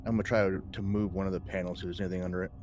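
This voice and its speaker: A man's voice: sits low, little pitch movement, very fast, music in the background. A man playing a tabletop roleplaying game, casually and somewhat hesitantly working out his moves aloud. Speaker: player.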